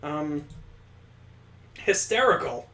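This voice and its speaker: A man's voice: on the high side, very animated, drawn-out words, pushed and bright. Sarcastic let's-play guy, echoey room. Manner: sarcastic, swearing, incredulous asides. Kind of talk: let's play commentary and visual novel narration. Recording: roomy room echo.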